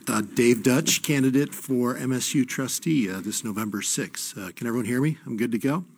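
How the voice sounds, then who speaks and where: A middle-aged man with an American Midwestern accent giving an informal, earnest campaign speech in a halting way. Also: medium pitch, a little mellow, husky. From Michigan, United States. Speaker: candidate for university trustee.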